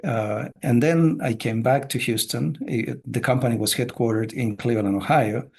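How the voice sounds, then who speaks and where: A middle-aged man from Chile, a business coach, talking casually as a podcast guest in a measured way with many filler sounds. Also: medium pitch, creaky, a little mellow, breathy edge.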